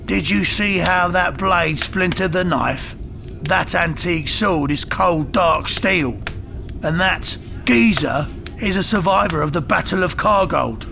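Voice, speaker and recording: High, creaky older soldier. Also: male voice, a little slow, over music. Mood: awed. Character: older male southern soldier, wary and cautioning.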